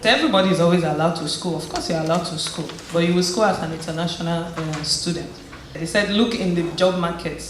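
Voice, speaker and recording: A woman's voice: lowish voice, quick pace. A woman tells her own story to an audience in a chatty, storytelling way, often stumbling and restarting mid-phrase. Her voice rings around a very echoey hall. A faint hum of noise sits underneath. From Nigeria. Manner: conversational storytelling with frequent restarts. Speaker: guest speaker at a community event. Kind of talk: personal testimony and encouragement.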